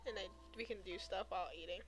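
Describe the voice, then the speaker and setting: A woman's voice: medium pitch, fast, over music, low-quality recording. A woman game streamer chatting casually and in a rambling way while she plays. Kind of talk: casual live stream chat over gameplay.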